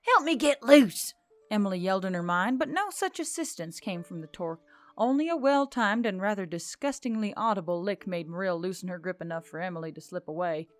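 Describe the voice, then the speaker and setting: A woman narrates a fiction story in a scripted reading style, at a middle pitch for a woman. Faint music plays beneath her voice. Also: dry recording. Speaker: audiobook narrator.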